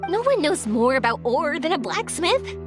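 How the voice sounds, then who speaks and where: A woman's voice: high voice, big pitch swings, normal speed, over music. A woman voicing a cheerful, earnest young fireworks maker in a video game, speaking expressively and sincerely. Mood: thoughtful, upbeat. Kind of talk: video game voice acting, animated character dialogue.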